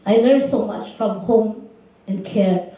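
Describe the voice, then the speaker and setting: Woman, slow and deliberate testimony; distant, very echoey hall. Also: medium pitch, mellow. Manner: slow and deliberate, simple non-native phrasing. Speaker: panelist, former domestic worker. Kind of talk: prepared personal testimony. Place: large lecture hall. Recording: echoey lecture hall, far from mic.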